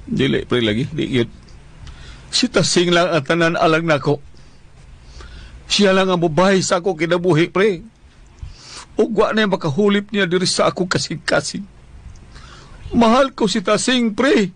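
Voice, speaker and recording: A man's voice: sits high, moderate pace, pauses often, dry recording. A man playing a devoted, stubborn older widower in a comic Bisaya radio drama, pleading plaintively and repeating himself. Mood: lovelorn longing. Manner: plaintive, insistent, repetitive.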